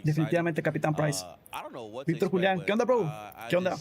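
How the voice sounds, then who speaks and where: A man's voice: on the high side, brisk, a crowd in the background. A man streaming live, answering chat in a casual way, calling viewers bro and often restarting his sentences. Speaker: gaming streamer.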